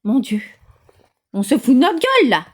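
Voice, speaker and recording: A woman's voice: sits high, huge pitch swings, normal speed, breathy edge. A woman talking casually and bluntly at home, outspoken and exasperated. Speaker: vlogger. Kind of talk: casual indignant chat.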